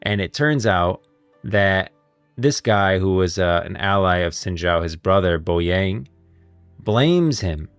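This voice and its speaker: Male gaming lore streamer, low voice, casual and rambling, close dry sound over background music. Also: animated, slow, clear, resonant tone, no room sound. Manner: rambling, thinking aloud. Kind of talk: casual livestream commentary on game lore.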